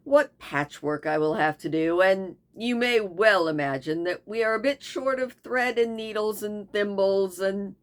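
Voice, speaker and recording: A woman's voice: mid-range voice, unhurried, hardly any pauses, natural voice. A woman voicing a gallant young male goat hunter who speaks in a light, joking way. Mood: wry.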